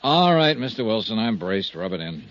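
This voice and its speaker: An actor playing a brash, wisecracking freelance insurance investigator in a 1950s radio drama, with punchy, wry delivery. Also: male voice, lowish voice, sing-song, moderate pace, a bit bright. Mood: rueful. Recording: dull 1950s radio recording, music underneath.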